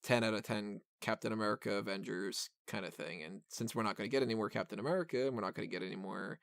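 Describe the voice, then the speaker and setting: A man's voice: lowish voice, fast, creaky, a bit bright, no room sound. A man hosting a casual film review podcast, talking in a rambling, self-deprecating way into a close microphone. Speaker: podcast host.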